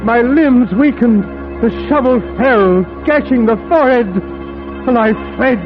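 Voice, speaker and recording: An actor playing a desperate, frightened young captive, intense and dramatic, in 1940s radio drama style. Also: male voice, very high-pitched, a little slow, loud music behind the voice. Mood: horrified. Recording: dull 1940s radio recording.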